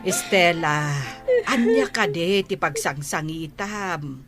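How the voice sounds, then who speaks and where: An actress playing a mother consoling her daughter in a radio drama, with a soothing, drawn-out delivery. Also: on the low side, animated. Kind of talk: Ilocano radio drama acting. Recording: loud music under the voice.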